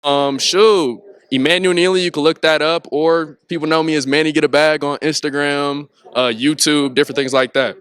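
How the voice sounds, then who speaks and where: Excitable young man, smooth voice. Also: a little high, moderate pace. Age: young adult. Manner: excitable, casual slang. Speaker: student filmmaker. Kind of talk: red carpet interview answers.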